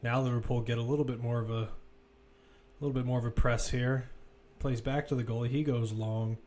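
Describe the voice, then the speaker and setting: A man's voice: mid-range voice, steady tone, a little fast, darkish tone. A man giving live football play-by-play commentary, describing the action as it unfolds in short, clipped phrases. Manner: running present-tense description in clipped phrases.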